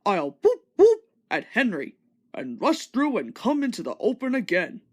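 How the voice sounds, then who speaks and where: A woman's voice: a little high, huge pitch swings, normal speed, a little mellow. A woman voicing a proud, rude male express engine in a storybook, boastful and grumbling. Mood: mocking.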